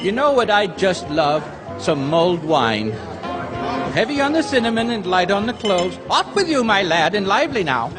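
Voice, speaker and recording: Gentle eccentric old angel: courtly, earnest, higher-pitched, lively intonation, radio-play style, reverberant stage. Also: male voice, normal speed, over music, crowd noise. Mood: cheery, whimsical. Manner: fussy, courtly, earnest, old-fashioned phrasing. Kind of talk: old-time radio drama acting on stage. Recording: echoey theater stage with long reverb.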